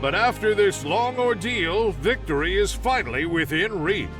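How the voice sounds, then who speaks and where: An actor playing a patriotic wartime propaganda newsreel announcer in a video game, emphatic and dramatic. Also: male voice, high voice, animated, normal speed, distant mic, over music. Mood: rousing. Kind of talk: scripted propaganda newsreel narration.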